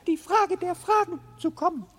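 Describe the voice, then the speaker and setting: Squeaky, very high smug little mouse. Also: female voice, a little slow. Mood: smug, commanding. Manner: condescending, commanding. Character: smug, businesslike male mouse ruling over humans. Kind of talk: radio play acting.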